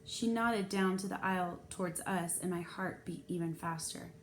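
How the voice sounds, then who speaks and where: A woman's voice: on the low side, moderate pace. Woman reading a children's novel, noisy recording. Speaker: storybook reader. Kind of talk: expressive read-aloud narration of a children's novel. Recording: clear background noise.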